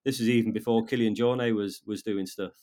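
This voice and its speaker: A man in his fifties from North Wales with a North Welsh accent, a guest in a casual interview who talks haltingly, repeating words and restarting his sentences. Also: fairly low pitch, steady tone, a little mellow.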